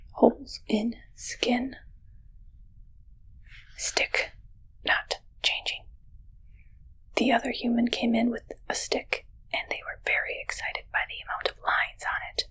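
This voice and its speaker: A curious shapeshifting alien played by a woman whispers through an ASMR roleplay exam. The voice is bright and fairly high, with halting, detached phrasing and a suspicious, probing temper. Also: low-quality recording. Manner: halting, clipped, detached phrasing with dropped words. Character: curious, suspicious shapeshifting alien posing as human medical examiner.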